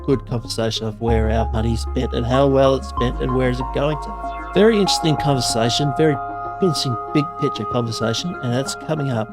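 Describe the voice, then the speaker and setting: A man's voice: mid-range voice, fairly quick. A man hosting a podcast who introduces his guest in a chatty, rambling, enthusiastic way. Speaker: podcast host. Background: music.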